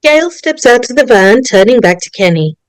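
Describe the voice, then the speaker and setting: A woman's voice: on the high side, lively intonation, fairly quick, no echo. Woman narrator reading screenplay directions, dry recording. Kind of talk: reading screenplay stage directions at a table read.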